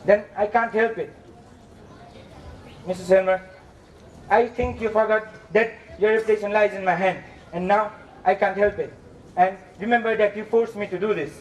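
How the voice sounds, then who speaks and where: A bitter blackmailing moneylender, played by a young man with a high-pitched voice. The stagey delivery is insistent and threatening, picked up from a distance. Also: steady tone, normal speed, long pauses, close to an everyday voice. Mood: demanding. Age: young adult. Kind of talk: stage acting in a school play. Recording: distant mic, faint background noise.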